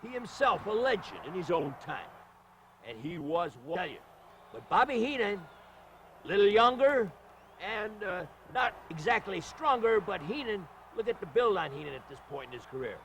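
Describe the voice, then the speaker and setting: Male wrestling announcer, high creaky voice, hyperbolic showman delivery. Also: animated, normal speed, a bit bright, a little hiss or hum. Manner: hyperbolic, exclamatory showman patter. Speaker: wrestling commentator. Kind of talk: excited wrestling match commentary.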